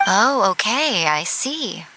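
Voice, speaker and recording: A woman's voice: mid-range voice, sing-song, takes it slowly, noisy background. A woman voicing a sly, elegant, self-assured fox-spirit priestess in a video game, teasing and haughty with a drawl. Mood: wry. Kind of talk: animated game voice acting. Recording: loud music behind the voice.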